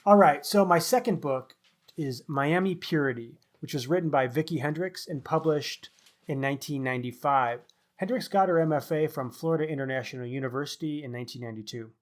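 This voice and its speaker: A man's voice: a little high, a little fast. A man co-hosting a podcast, talking through a book review. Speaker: podcast co-host.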